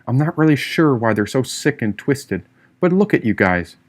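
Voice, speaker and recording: A man's voice: medium pitch, sing-song, moderate pace, lightly acted. Man voicing a breezy, bold rebel young woman. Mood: easygoing, musing. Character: free-spirited, bold, teasing young woman, a carefree rebel in wilderness.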